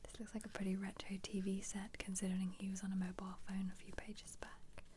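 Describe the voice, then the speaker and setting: Whispering British woman, soft ASMR commentary. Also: medium pitch, completely flat delivery, a little fast, a little hiss or hum. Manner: casual, gentle, rambling asides. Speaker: ASMR creator. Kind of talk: soft-spoken ASMR book flip-through commentary.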